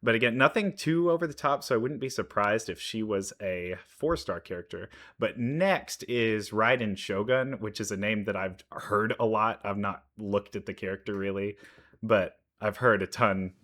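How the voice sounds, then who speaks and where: A man's voice: fairly low pitch, bright, forceful tone. A man giving casual reaction commentary, thinking aloud with analytical asides. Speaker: reaction video host.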